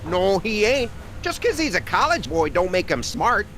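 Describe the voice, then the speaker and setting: An actor voicing a cocky, gossipy backwoods factory worker with folksy rural talk in a video game. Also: male voice, sits high, sing-song, moderate pace, a bit bright, a little hiss or hum, full character voice. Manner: folksy rural grammar, opinionated. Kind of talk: cartoonish video game dialogue.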